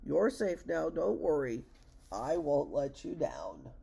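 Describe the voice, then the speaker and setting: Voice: mid-range voice, lively intonation, normal speed, creaky, warm tone, breathy edge. A narrator voicing a big kind-hearted elephant in a storybook, protective and steadfast, with an earnest, emphatic manner. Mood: attentive, reassuring.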